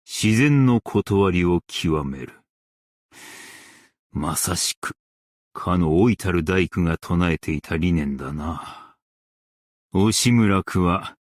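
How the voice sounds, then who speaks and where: A man playing a stern, dignified old-world master craftsman and warrior in a game, speaking in archaic, formal, measured Japanese diction with weighty delivery. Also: low-pitched, a little mellow, dry recording, clean studio sound, full character voice. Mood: reflective. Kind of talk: Japanese game character voice lines.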